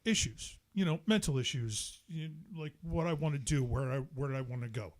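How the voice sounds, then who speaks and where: A man guesting on a podcast, a bass player and singer, telling stories in casual chat with a slow, halting delivery full of restarts.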